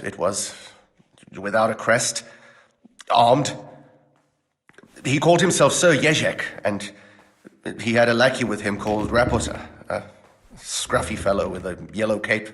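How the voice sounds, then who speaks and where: An actor playing a nervous, evasive monastery clerk who talks haltingly, in a video game. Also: male voice, mid-range voice, a bit bright, big-room sound, close to an everyday voice. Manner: hesitant, halting.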